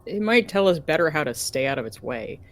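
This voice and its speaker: A man with a slightly high voice chats at a tabletop game. He speaks casually, as a player would. Music plays faintly behind him. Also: sing-song, a little fast, a bit bright.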